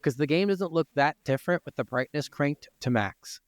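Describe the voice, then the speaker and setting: A man's voice: a little high, lively intonation, normal speed, no echo, studio quality. A man co-hosting a podcast, talking casually and profanely about video games. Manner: informal, freely profane. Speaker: podcast co-host. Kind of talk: casual video game discussion.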